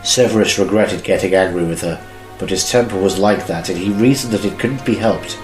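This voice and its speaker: Low-voiced man narrating, roomy sound. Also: fairly quick, a little mellow. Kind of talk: scripted story narration of fan audio drama. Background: music.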